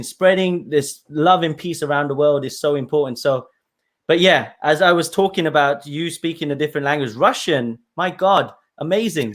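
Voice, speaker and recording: A male podcast host with a slightly high voice who talks in a friendly, chatty way. He laughs a lot and often stumbles and restarts mid-sentence as he asks his questions. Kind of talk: casual interview chat.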